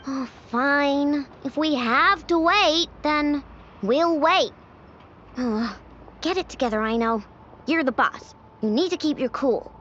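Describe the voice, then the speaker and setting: A woman's voice: high voice, slow, a bit bright, highs cut off, slight background noise. A woman voicing a bossy, stubborn young girl inventor in a video game, with a childish manner. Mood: grudging, self-coaching. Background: game music.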